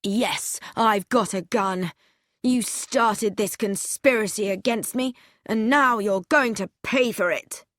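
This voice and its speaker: A woman's voice: mid-range voice, takes it slowly, a bit bright, no room sound, studio quality. A woman reading an audiobook, voicing an unhinged young man who screams and rants in fury. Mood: raging. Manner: screaming, ranting.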